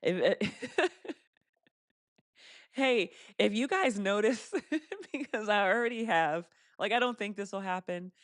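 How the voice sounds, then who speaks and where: Woman w/ a deeper voice doing a live q&a, laughs a lot, dry close mic. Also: animated, slow pace, pauses often, no echo. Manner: chatty, laughs often, reads viewer comments aloud. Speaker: host of a live Q&A stream. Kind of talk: casual live stream chat reading comments.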